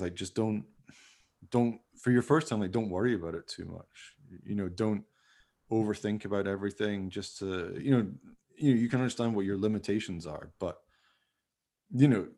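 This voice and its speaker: A man's voice: lowish voice, mellow. A man chatting casually and enthusiastically as a podcast host about his creative work, in a rambling way. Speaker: podcast host and amateur radio play producer. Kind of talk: casual chat about making a radio play.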